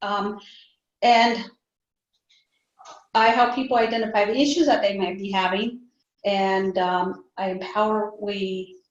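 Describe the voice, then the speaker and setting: A woman's voice: medium pitch, steady tone, a little slow, long pauses. A native Spanish-speaking woman with a Spanish accent, hesitantly practicing her introduction as a workshop participant. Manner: hesitant, apologetic. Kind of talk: practicing a spoken self-introduction. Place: indoor echoey room. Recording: roomy, distant, dull microphone.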